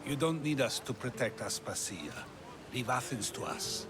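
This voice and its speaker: A man's voice: mid-range voice, lively intonation, normal speed, natural voice. An actor playing an earnest, resolute, principled Athenian man in a theatrical video game cutscene. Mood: firm. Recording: clear ambient noise behind.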